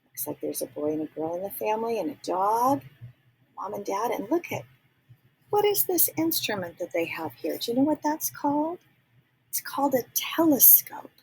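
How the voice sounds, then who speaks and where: A woman reading a children's picture book aloud, pausing to ask young listeners gentle teacherly questions and dropping into soft whispers. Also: mid-range voice, sing-song, creaky, a little hiss or hum, rough recording. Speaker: read-aloud storyteller.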